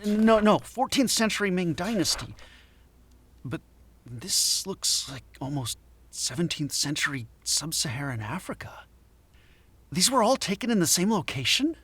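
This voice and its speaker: An actor playing a defensive, fussy anthropology professor in an audio drama, curt and indignant, stammering when flustered. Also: male voice, sits high, big pitch swings, a little slow, a bit bright, slightly rough, heavily acted. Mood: puzzled, fascinated.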